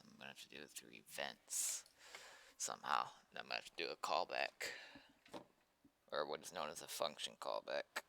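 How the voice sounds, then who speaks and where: A man with a low, gravelly voice and a very bright, forceful edge talks to himself as he codes. He speaks casually in short muttered fragments, like a streamer thinking out loud at his desk. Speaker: streamer coding a game mod. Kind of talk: casual thinking aloud while programming. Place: indoors at a computer desk.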